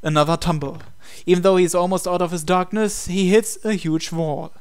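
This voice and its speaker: A man reads visual novel narration aloud as a gaming host. His voice sits a little high for a man and is very clean and smooth. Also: fairly even intonation, normal speed, a little mellow.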